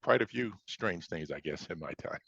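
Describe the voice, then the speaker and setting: A man's voice: deep voice, animated, normal speed, creaky, a bit bright, husky, no echo, dull audio. An American man in his seventies from Chicago talking casually as an interview guest, hesitating and repeating words as he reminisces. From Chicago, United States. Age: 70s.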